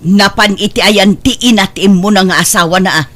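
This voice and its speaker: Lady playing resentful ilocano wife in radio drama, scolds and nags, medium pitch, cold-hearted. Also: a little fast, close to an everyday voice. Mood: suspicious, irritated. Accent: Filipino, Ilocano. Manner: scolding, sharp-tongued. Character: bitter, hard-hearted, resentful nagging wife and stepmother.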